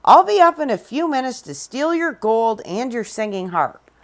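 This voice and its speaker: A woman's voice: on the high side, sing-song, voice pushed up. A woman voicing a cocky, wisecracking boy named Jack in a storybook read-aloud. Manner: brash, sarcastic, quick.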